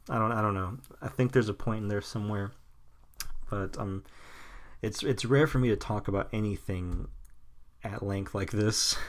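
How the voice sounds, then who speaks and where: Low-voiced young man rambling blunt opinions. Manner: halting, full of restarts and nervous laughs; blunt and cynical, swears casually. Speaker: podcast host. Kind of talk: rambling solo podcast monologue.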